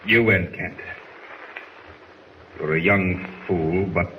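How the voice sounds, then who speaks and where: An actor playing a stern, honourable older submarine commander in 1940s radio drama, with a grave, commanding manner. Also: male voice, low voice, fairly even intonation, slow, pushed and bright, exaggerated voice. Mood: resigned, grave. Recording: noisy, roomy 1940s radio recording.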